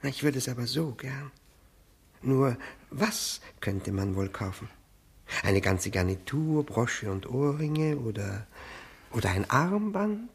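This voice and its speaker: A fawning, gravelly-voiced widower. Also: male voice, mid-range voice, animated, slow pace, exaggerated voice. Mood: anxious, fussy. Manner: hesitant, obsequious, stammering repetitions. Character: fawning, self-abasing widower pleading to remarry. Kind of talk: 1960s German radio play acting.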